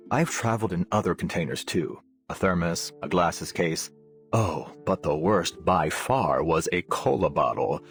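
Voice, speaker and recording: An actor playing an eccentric, curious, officious nonhuman scientist in a video game, speaking in an animated, fussily precise, scholarly way. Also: male voice, lowish voice. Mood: reflective, chatty. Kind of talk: video game character voice lines.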